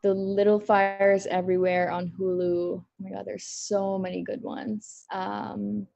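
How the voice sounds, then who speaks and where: A young woman from the Philippines talks in a chatty, bubbly way, peppering her answers with "like" and "literally." Her sits at a middle pitch. She is heard over a video call. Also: level tone, a little slow, gravelly, darkish tone, highs cut off. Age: 20s. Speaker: model interviewed at a press conference. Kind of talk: casual online press conference answers.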